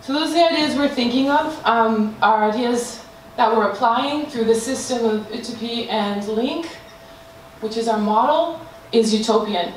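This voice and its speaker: A woman's voice: on the high side, moderate pace. A woman presents a group design project. She talks hesitantly, with frequent fillers and restarts. She is captured from far off in a very echoey room, with faint noise behind her. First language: not Danish. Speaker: student presenter.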